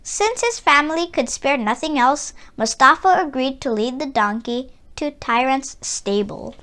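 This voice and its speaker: A woman reads a children's storybook aloud. Her voice sits high and swings widely in pitch. She takes her time, expressive and deliberate with each phrase. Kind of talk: read-aloud storybook narration.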